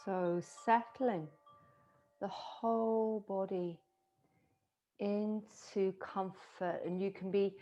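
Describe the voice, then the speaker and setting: A woman with a Scottish accent, a yoga teacher softly and slowly guiding a yoga nidra relaxation with long pauses. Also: medium pitch, fairly even intonation. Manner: soft, slow and soothing, with long pauses. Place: her home in the city. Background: singing bowls and chimes.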